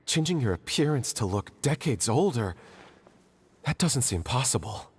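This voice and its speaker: An actor playing a loyal, anxious man in a video game, husky and hesitant, speaking emotionally. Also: mid-range voice, sing-song, moderate pace. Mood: disbelieving, distressed. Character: loyal, anxious man refusing to believe bad news. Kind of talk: scripted video game dialogue.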